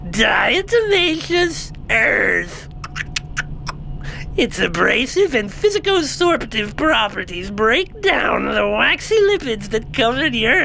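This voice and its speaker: A woman's voice: high-pitched, creaky, sharp tone, heavily acted, rough recording. A woman voicing a mocking insectoid alien pilot. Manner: mocking, cold. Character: calm, vengeful male insectoid alien pilot. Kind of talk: audio drama acting.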